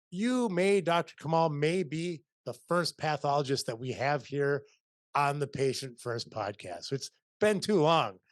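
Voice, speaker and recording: Male podcast host, middle-aged, energetic, rambling, dry mic. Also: fairly high pitch, dry recording. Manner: upbeat, rambling with restarts. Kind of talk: video-call interview. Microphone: studio mic on boom, video call.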